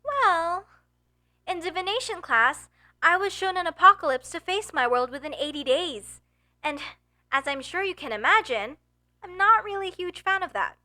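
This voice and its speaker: A woman's voice: very high voice, expressive, normal speed, sharp tone, exaggerated voice. A woman voicing a young, earnest and flustered elf mage student in a comedic audio roleplay, with formal, hesitant phrasing. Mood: defensive, sheepish. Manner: formal, slightly stilted phrasing with nervous hesitations.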